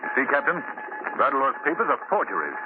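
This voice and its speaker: An actor playing a cool-headed, urbane gentleman sleuth in a 1930s radio drama. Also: mid-range voice, wildly swooping pitch, a little fast. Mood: concerned, decisive. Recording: tinny, noisy 1930s radio recording.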